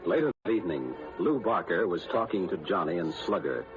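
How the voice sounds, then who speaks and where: Vintage American serial announcer, lowish scripted narration, muffled broadcast over music. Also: male voice, very animated, fairly quick. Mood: measured, scene-setting. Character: solemn radio serial narrator man. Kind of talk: scripted 1940s radio narration.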